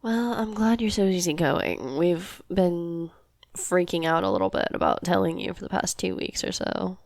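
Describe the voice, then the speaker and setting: Low creaky laid-back girlfriend played by a woman. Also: lively intonation, moderate pace, no echo, studio quality. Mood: breezy. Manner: breezy, casual. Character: laid-back, affectionate young girlfriend in a poly relationship. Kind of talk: casual roleplay audio drama.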